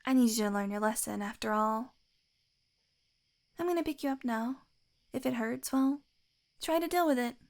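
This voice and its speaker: A high, girlish-pitched female healer from a fantasy audio roleplay, played by a woman. She teases and talks down to the listener as if to a clumsy pupil. Under the sweetness she is possessive, domineering and quietly menacing. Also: moderate pace, long pauses, close to an everyday voice. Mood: teasing, smug. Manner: condescending, teasing, coaxing, with sighs and chuckles.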